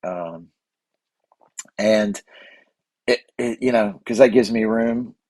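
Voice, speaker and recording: Guy hosting his podcast, bit hoarse, lowish voice, dry close sound, rambles. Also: takes it slowly, a little mellow, no echo. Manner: rambling, with many fillers and restarts. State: a little hoarse and raspy. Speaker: podcast host. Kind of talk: casual solo podcast monologue.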